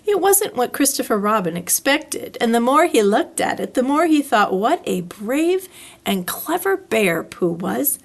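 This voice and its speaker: A woman reading a children's story aloud in an expressive, deliberate storytelling style. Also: on the high side, creaky.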